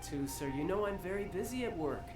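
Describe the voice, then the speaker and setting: A man's voice: sits high, lively intonation, brisk. Video game commander: young man, theatrical, stern yet teasing, recorded roomy and distant over music. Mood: earnest, brotherly.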